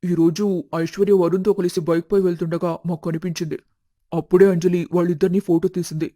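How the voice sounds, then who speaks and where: Man acting a fast, worried young husband. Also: high voice, little pitch movement, warm tone, dry recording, clean studio sound. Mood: polite, urgent. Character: worried, polite wronged young husband seeking answers. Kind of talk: animated moral story voice acting.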